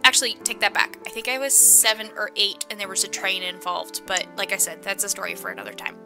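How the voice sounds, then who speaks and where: A woman's voice: mid-range voice, fast talker, lightly acted. A woman playing a friendly, secretive small-town reporter who rambles through her stories in an improvised audio drama. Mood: chatty, confiding. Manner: chatty, rambling storyteller. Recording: music bed behind the voice.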